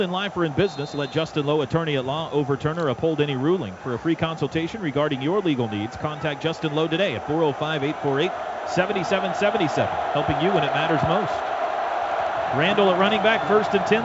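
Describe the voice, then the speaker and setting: An American man does play-by-play of a football game on the radio. His voice sits somewhat high and can rise to a shout when a play breaks. The sound is dull and lo-fi, with clear noise from the stadium behind him. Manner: shouts during big plays.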